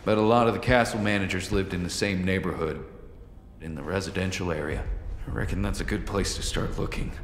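An actor voicing a guarded, pragmatic, hard-bitten male agent in a video game, with a measured, persuasive and wry delivery. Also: sits low, steady tone, slight background noise, lightly acted. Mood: grim, explanatory. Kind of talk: video game cutscene acting. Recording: echoey hall reverb, music behind.

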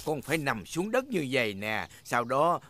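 A man's voice: mid-range voice, sing-song, normal speed, lightly acted. A man voicing a jolly, patient old grandfather pig in a children's cartoon dub. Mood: patient, playful.

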